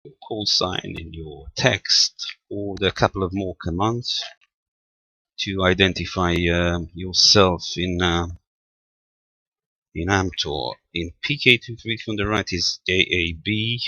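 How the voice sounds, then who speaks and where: A man giving an informal technical demonstration as a presenter, halting and thinking aloud as he goes. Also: sits low, sharp tone, breathy edge, dry recording, highs cut off. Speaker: amateur radio tutorial presenter. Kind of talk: informal spoken software demonstration.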